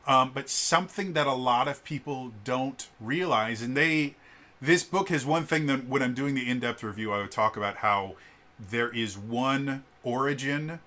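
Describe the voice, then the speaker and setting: Deep-voiced young man, bright edge, casual reviewer who stammers and fills with "um". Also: moderate pace. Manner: stuttering restarts on words, many fillers. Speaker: tabletop RPG reviewer.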